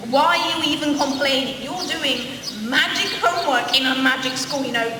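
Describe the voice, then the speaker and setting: A woman's voice: high-pitched, normal speed, lightly acted. A young woman playing an eager, bookish, exasperated schoolgirl know-it-all in a comedic radio play, earnest and dramatic. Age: young adult. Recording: very echoey hall, distant mic, faint noise.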